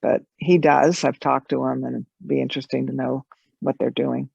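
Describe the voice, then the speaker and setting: A man telling a story as a panelist on a video call, animated, with restarts, over dull lo-fi call audio. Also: mid-range voice, moderate pace, gravelly, slightly rough, no echo. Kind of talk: conversational storytelling at an online conference.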